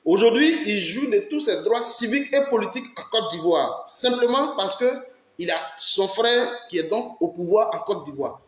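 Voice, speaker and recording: High-voiced, emphatic man. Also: expressive, normal speed. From Ivory Coast. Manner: emphatic, rhetorical questions, urges listeners to listen. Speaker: political commentator hosting his channel. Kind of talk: impassioned political commentary. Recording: roomy echo.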